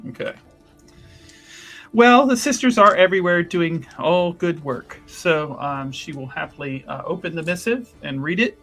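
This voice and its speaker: A man playing a tabletop roleplaying game, improvising his character's actions in a playful, measured way with pauses. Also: a little high, animated, fairly bright tone, faint music behind. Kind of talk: improvised in-game narration and chat.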